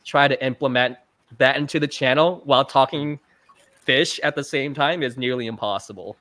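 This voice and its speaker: A man's voice: medium pitch, moderate pace, fairly bright tone, dry recording. Rambling, easygoing man on a dry call. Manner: rambling, filler-heavy, self-deprecating jokes. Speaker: guest, fish channel videographer. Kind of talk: casual livestream interview. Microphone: video call mic.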